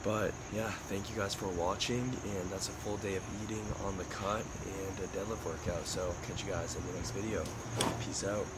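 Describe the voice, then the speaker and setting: Hoarse young man, flat stuffy voice. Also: sits low, normal speed, heavy background noise. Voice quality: stuffy nose. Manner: laid-back, filler-heavy talk. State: hoarse, lost voice. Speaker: fitness vlogger. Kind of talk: casual vlog narration. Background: music.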